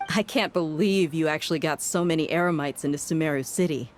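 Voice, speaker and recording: A woman playing a brash, casual mercenary in an anime game, speaking confidently in a blunt, informal, expressive way. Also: fairly quick, a little hiss or hum, natural voice. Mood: exasperated. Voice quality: low, confident.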